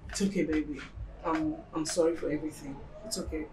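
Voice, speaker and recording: Film drama, actress for troubled Nigerian wife. Hesitant, pleading, echoey sound with faint music. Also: female voice, mid-range voice, normal speed, a little mellow, close to an everyday voice. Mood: anxious pleading. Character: troubled, anxious wife seeking reassurance. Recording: echoey room, distant mic, faint music.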